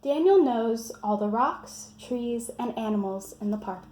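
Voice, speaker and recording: A woman with a mellow, fairly high voice presents a children's book in a scripted read-aloud style. The room adds noticeable echo, with faint noise underneath. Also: expressive, measured pace.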